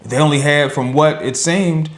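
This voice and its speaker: A man giving conversational commentary as a reaction show co-host, explaining things in a measured way. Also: on the high side, steady tone.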